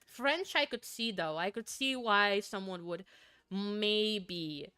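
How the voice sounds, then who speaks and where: Smooth-voiced giggly Finnish woman streamer. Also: medium pitch, slow, sharp tone, dry recording, faint music behind. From Finland. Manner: giggly, stammers and repeats words. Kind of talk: casual livestream chat with viewers.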